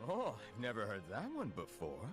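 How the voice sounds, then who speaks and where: Teasing confidant: inquisitive, playfully theatrical, hugely animated intonation, game cutscene with music bed. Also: male voice, lowish voice, normal speed. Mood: musing, amused. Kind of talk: video game cutscene acting. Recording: music under the voice.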